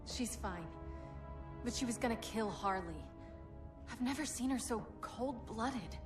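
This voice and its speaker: A young video game superheroine with a high voice. She is earnest and compassionate, pleading yet defiant, with game music playing behind her. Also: animated, a little slow. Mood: troubled, accusing. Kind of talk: cinematic video game acting.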